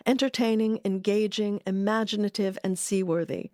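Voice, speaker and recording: A woman giving measured, articulate scripted narration as a documentary narrator. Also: medium pitch, fairly even intonation, darkish tone, dry recording, clean studio sound.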